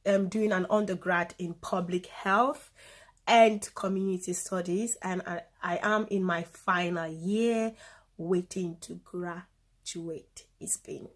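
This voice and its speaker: A woman's voice: fairly low pitch, steady tone, slow. Casual young Cameroonian woman vlogger. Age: 20s. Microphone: phone camera.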